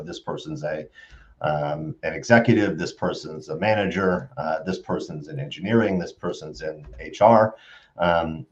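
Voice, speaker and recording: Low-voiced man, slightly dull audio. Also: a little mellow. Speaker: co-host of a business live stream. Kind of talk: conversational explanation. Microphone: video call.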